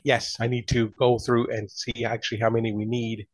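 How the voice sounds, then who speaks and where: Regular guy just chatting, mid pitch, dry sound no echo. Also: little pitch movement, brisk. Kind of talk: casual chat.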